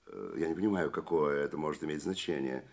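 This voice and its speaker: An actor playing an obsequious, easily flustered shopkeeper in a Russian radio drama, hesitant and nervously repeating himself. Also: male voice, very deep voice, fast, vocal fry, a little mellow. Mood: guarded. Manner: servile, repetitive, hesitant, nervous. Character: flustered, obsequious, indignant male London shopkeeper. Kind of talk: Russian radio play acting.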